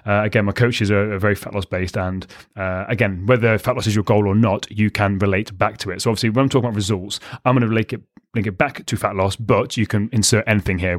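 Male coach-host, lowish croaky voice, rapid motivational solo talk, dry close mic. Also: no room sound. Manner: emphatic, repeats key lines for punch. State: slightly croaky from shouting. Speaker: podcast host and fat loss coach. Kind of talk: solo motivational podcast monologue.

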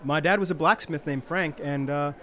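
A man's voice: on the high side, normal speed, fairly bright tone, natural voice. A man playing an easygoing human tavern keeper in a comedic fantasy audio drama, talking in a casual, hesitant, incredulous way.

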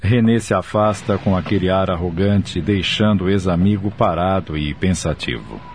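A man's voice: low voice, normal speed, darkish tone, a little hiss or hum. A man with a Brazilian accent narrating a radio drama and presenting it in a scripted style. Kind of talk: scripted narration and credits reading.

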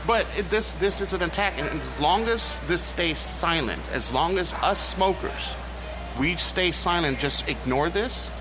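A man's voice: a little high, lively intonation, unhurried. A young adult man talking emphatically and rhetorically in a casual podcast panel, recorded in a lounge. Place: cigar lounge. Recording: clearly noisy lounge recording.

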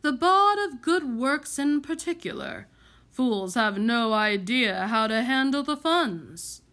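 A self-important, blustering lord from a storybook, acted out by a woman. The delivery is slow, emphatic and indignant, pitched fairly high for a gentleman. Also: big pitch swings, full character voice. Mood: pompous indignation. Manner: pompous, emphatic.

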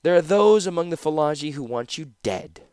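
A man voicing a cruel, breezily sardonic woman torturer in a fantasy audiobook, mocking and calmly menacing. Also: on the high side, a little fast, clean tone, no echo, clean studio sound, pitched above the performer's own voice, close to an everyday voice.

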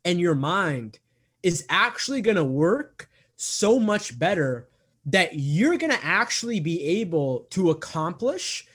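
A man's voice: fairly high pitch, husky. A man in his twenties talking earnestly in an interview, repeating himself often and filling gaps with "um" and "like". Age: 20s. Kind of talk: casual interview talk.

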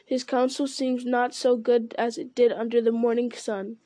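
An aging warrior king speaks with grave, measured doubt, read by a woman. The voice sits slightly high and soft-edged. Storybook reading. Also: level tone, dry recording, natural voice.

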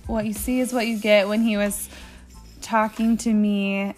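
A woman's voice: medium pitch, flat delivery, unhurried, a little mellow, soft background music. A woman talking casually with her husband on a podcast, tired and wryly teasing, recorded on a phone in a living room. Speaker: podcast guest, host's wife. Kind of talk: casual chat.

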